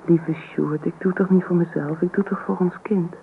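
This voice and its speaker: A woman's voice: lowish voice, steady tone, moderate pace, gravelly. An actress playing an earnest, worried young wife and mother in a naturalistic radio drama, speaking insistently. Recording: old radio play, phone-like sound, noisy.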